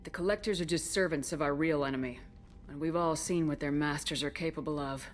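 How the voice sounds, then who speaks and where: An actress playing a tough, skeptical military commander in a video game, blunt and commanding. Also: female voice, deep voice, a little fast, slight background noise, lightly acted. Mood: firm, determined. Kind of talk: dramatic video game dialogue.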